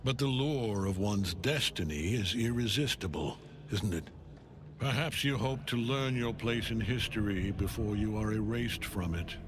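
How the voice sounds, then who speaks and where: A man playing a grim older male general who serves a death god, speaking in a measured, cold, brooding way in game cutscene dialogue. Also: fairly low pitch, background noise, lightly acted. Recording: game audio with loud music.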